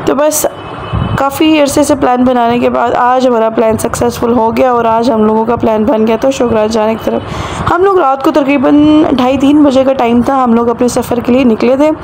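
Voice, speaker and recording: A woman in her thirties with a Pakistani Urdu accent narrates a family road trip for her vlog. Her slightly high voice is hoarse from a sore throat, and she talks in a chatty way, repeating phrases for emphasis. Clear noise runs under the voice-over. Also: fairly even intonation, moderate pace. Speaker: travel vlogger.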